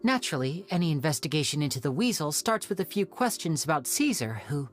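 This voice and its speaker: Young game magician, polite schemer, measured, persuasive, lowish voice, expressive intonation. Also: female voice, faint music behind. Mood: courteous. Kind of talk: English game voice acting.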